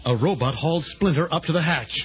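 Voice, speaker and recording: A man's voice: on the high side, fast, natural voice. Man acting as dramatic adventure storyteller. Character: male storyteller of an adventure tale. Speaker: narrator. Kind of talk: dramatic scripted narration for children's audio drama. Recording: dull lo-fi audio with music.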